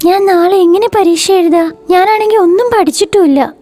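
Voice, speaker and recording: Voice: extremely high pitch, normal speed, dark tone. An actor voicing an anxious, dejected young schoolboy in a children's cartoon story, with a plaintive manner. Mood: worried. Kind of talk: animated children's story acting.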